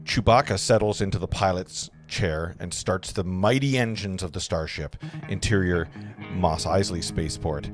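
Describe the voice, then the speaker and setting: A man's voice: low-pitched, moderate pace, soft background music. A man reading stage directions as narrator at an informal table read, sometimes stumbling and correcting himself.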